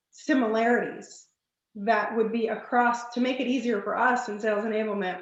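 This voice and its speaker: A woman hosting an online discussion group, going hoarse and thinking aloud with many fillers and restarts. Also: a little high, reverberant, highs cut off. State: hoarse, losing her voice. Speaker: meeting facilitator.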